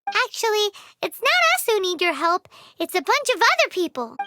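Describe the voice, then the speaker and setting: A woman voicing a small, childlike fairy companion in a video game, squeaky and cheeky, exclaiming and talking about herself in the third person. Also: very animated, normal speed, pushed and bright, a little hiss or hum, heavily acted. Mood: hesitant.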